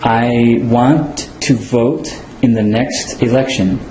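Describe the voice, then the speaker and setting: A man's voice: medium pitch, steady tone, takes it slowly, warm tone, a little hiss or hum. An older man from Venezuela answering citizenship interview questions in short, complete, careful sentences. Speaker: citizenship applicant in a mock interview. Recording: roomy echo with faint ambience.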